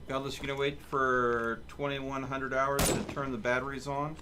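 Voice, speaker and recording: A man's voice: mid-range voice, flat delivery, normal speed, background noise. A man hosting a livestream, chatting casually and giving instructions to his teammates as he plays a game. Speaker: livestream host and player. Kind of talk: casual gaming stream chat, giving team instructions.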